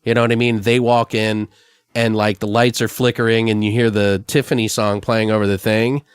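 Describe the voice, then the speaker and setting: Low-voiced man brainstorming in casual chat. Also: flat delivery, moderate pace, clean tone, dry recording, studio quality. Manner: rambling, profane. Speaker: podcast host.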